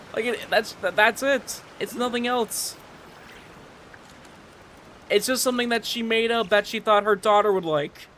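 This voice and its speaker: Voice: mid-range voice, a little slow, long pauses, a bit bright, slight background noise. A streamer giving casual, rambling and emphatic commentary while gaming. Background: game music.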